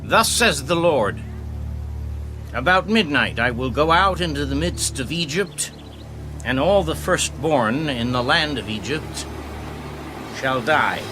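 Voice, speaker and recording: An actor playing a solemn, resolute prophet in a dramatised Bible reading, grave and declamatory. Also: male voice, a little high, expressive, slow pace, long pauses, over music. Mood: solemn warning. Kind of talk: dramatised audio Bible acting.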